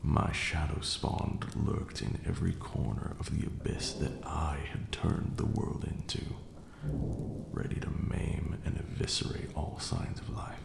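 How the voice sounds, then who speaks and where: An ancient, brooding vampire lord, played by a man, murmurs in an extremely low, heavily gravelled voice. He is cruel and grieving, drawing out his words slowly and theatrically in an ASMR roleplay, with rainy ambience clearly behind him. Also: little pitch movement, reverberant, noisy background, heavily acted. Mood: menacing, dark. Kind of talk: slow dramatic ASMR roleplay monologue.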